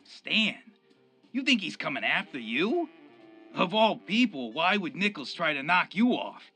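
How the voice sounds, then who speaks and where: A man's voice: fairly high pitch, very animated, unhurried, bright, forceful tone, breathy edge, faint music behind, heavily acted. A man playing a cocky, coolly defiant gangster lieutenant in a radio drama, with slangy, flippant, streetwise talk. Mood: incredulous.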